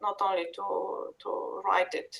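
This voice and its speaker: A woman explaining their work as a translator in a panel discussion, haltingly and with many pauses. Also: medium pitch, completely flat delivery, vocal fry, fairly bright tone. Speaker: poetry translator.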